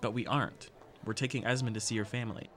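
A man acting as a playful, chatty teenage best friend, animated and teasing, in a fiction podcast. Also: mid-range voice, fast talker, close to an everyday voice. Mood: gleeful, teasing. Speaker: voice actor.

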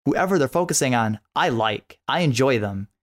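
A man's voice: mid-range voice, lively intonation, normal speed. A man co-hosting a podcast, giving his opinions in a casual, rambling way with "like" scattered through his talk. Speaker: podcast co-host.

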